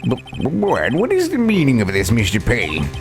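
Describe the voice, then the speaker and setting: A man voicing an elderly courtroom judge from a video game, stern yet easily flustered, with halting, ponderous delivery. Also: mid-range voice, huge pitch swings. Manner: halting, stammering, ponderous pronouncements. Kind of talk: dramatic reading of a video game. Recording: game music behind the voice.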